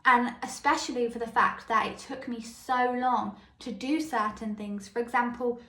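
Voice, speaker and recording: A woman with a high, light voice hosting a solo self-help podcast. She tells personal stories and keeps checking in with the listener. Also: fairly even intonation, normal speed, a bit bright. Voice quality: high, light voice that others called squeaky. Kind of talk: solo motivational podcast monologue. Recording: echoey room.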